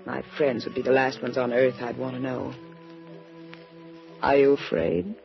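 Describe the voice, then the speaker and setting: A woman's voice: extremely low pitch, a little slow, vocal fry, full character voice. An actress playing an anxious, guarded woman who pleads for help in a 1940s radio drama. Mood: tense, pleading. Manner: urgent, pleading. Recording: dull old radio broadcast with music.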